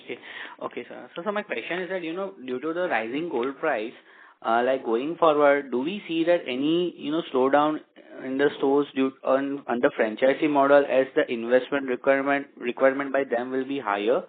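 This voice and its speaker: A man's voice: medium pitch, fairly quick. Polite man on a phone line. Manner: polite, addresses speakers as sir. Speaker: analyst caller. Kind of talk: conference call questions.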